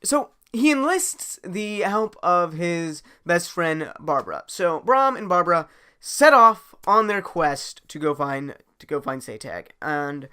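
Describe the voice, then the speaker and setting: A man casually improvising a story as host, halting and thinking aloud as he goes. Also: high voice, big pitch swings, pushed and bright, smooth.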